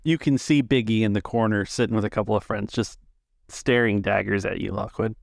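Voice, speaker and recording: A man's voice: lowish voice, fairly even intonation, brisk, gravelly, dry recording, studio quality. A man casually narrating a tabletop roleplaying game as its game master.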